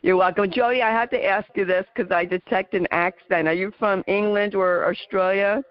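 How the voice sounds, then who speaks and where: A woman with a New Jersey accent hosting a talk radio interview, earnest and halting with many fillers. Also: mid-range voice, fairly even intonation, no room sound, lo-fi sound. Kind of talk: casual interview chat.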